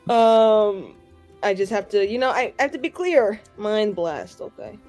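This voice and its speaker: A woman's voice: medium pitch, lively intonation, unhurried, darkish tone. Casual woman tabletop gamer, music behind. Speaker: player in a tabletop roleplaying game. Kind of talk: casual game chat.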